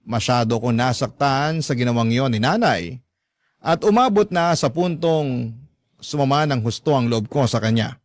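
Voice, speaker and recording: Mid-pitched man narrating, dry studio sound. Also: lively intonation, moderate pace, dry recording. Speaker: narrator reading a listener's love story. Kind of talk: scripted first-person story narration.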